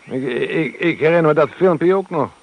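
An actor playing a curious, thoughtful radio inspector in a van, who talks in an easygoing, reflective way, in a radio play. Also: male voice, fairly high pitch, lively intonation, normal speed, close to an everyday voice. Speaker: radio monitoring van operator. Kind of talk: radio drama dialogue.

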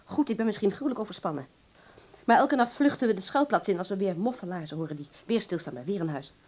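A woman's voice: medium pitch, animated, fast talker, a little mellow, natural voice. An actress in a radio drama playing a bitter, overwrought woman in wartime hiding, sarcastic, raw and coarse-tongued. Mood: bitter, agitated.

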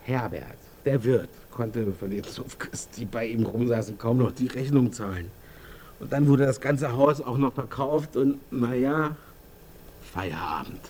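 A man's voice: medium pitch, lively intonation, unhurried, a little mellow, lightly acted. An actor playing a local man telling of his neighbourhood in a radio drama, in a laconic, colloquial way. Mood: laconic, resigned. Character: unhurried male local recounting his neighbourhood's decline. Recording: slight background noise with ambience.

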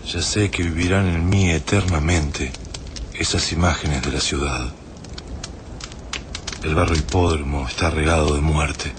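An actor playing a haunted, somber traveller in a radio drama, recalling devastation in a grave, literary manner with an Argentine accent. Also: male voice, very deep voice. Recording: faint ambient noise behind voice.

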